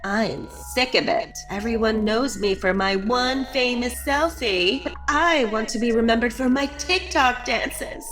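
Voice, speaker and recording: Snooty cartoon computer companion, female actor, fairly high-voiced, theatrical and sarcastic teenage-diva attitude, music underneath. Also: unhurried, few pauses, a bit bright. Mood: haughty. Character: haughty self-dramatizing alien AI screen, acting like a sulky teenager. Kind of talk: animated puppet comedy acting.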